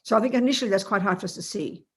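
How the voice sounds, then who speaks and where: A meditation teacher with a cold, sounding a bit croaky, explaining in a rambling, self-correcting way over a video call. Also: female voice, medium pitch, rapid-fire.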